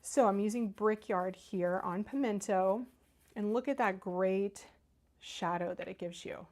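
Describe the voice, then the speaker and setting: A woman's voice: medium pitch, unhurried, a little mellow. A middle-aged woman from Northern Kentucky with a Midwestern American accent, chatting enthusiastically as she demonstrates cardmaking on a live stream and answers viewers. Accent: Ohio-like Midwestern American. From Northern Kentucky, USA. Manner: chatty, enthusiastic, talks while working. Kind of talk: casual craft demo, reading live chat.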